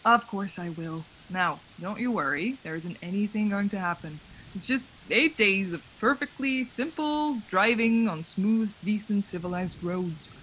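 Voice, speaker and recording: A woman's voice: medium pitch, measured pace, fairly bright tone, slight background noise, lightly acted, rough recording. An actress playing a frightened young traveler who insists she is sane, in a suspense radio play, hesitating and repeating words. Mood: reassuring.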